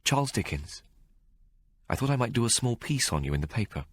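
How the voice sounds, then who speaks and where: A man voicing a calm, kindly Victorian gentleman reporter with a gentle manner. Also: medium pitch, fairly quick, breathy edge, pitched above the performer's own voice.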